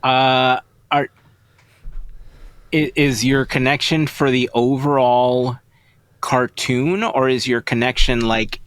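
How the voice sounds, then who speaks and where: A man co-hosting a casual quiz podcast, playful and quick with jokes and asides. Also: mid-range voice, fairly even intonation, fairly bright tone, smooth, no room sound. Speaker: podcast co-host.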